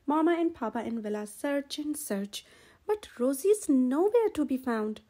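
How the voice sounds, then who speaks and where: A woman reading a children's picture book aloud in an expressive, gentle storytelling manner. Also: high-pitched, unhurried. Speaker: storyteller, channel host.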